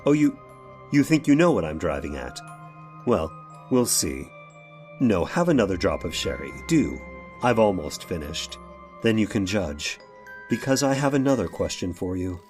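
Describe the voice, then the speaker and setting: A man's voice: low-pitched, big pitch swings, a little mellow, soft background music. Actor playing an agitated, nervous man in radio horror. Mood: grave, insistent. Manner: halting, emphatic, rhetorical questioning. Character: nervous, defensive man confessing a macabre experiment. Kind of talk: old-time radio horror drama acting.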